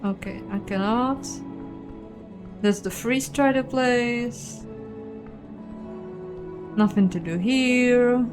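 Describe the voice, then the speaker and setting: Woman, game streamer, casual commentary, slow pace, musing to herself, faint background music. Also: on the high side. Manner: musing aloud, questioning herself. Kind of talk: casual playthrough commentary, reading game text aloud.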